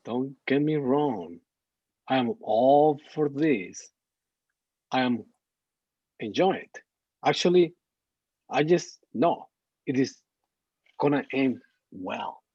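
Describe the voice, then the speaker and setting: Guy as laid-back older sidekick, husky, kinda slow and halting, muffled lo-fi mic. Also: mid-range voice, lively intonation, warm tone, no room sound. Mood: easygoing. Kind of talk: screenplay table read. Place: remote virtual call. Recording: dull lo-fi call audio.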